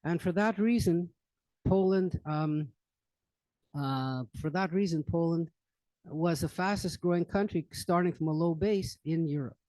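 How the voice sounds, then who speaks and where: An older man speaks in a loose, rambling lecture manner, full of fillers and restarts. His voice sits a little high and sounds mellow. The sound is dry and close. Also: unhurried, no room sound, low-quality recording. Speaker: lecturer on current events. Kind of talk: informal public library talk. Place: library meeting room.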